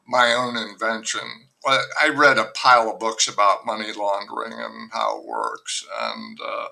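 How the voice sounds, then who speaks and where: An older man, a novelist guest, talking in a rambling, wry way with many fillers and restarts in a relaxed podcast conversation. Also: lowish voice, unhurried, gravelly, low-quality recording.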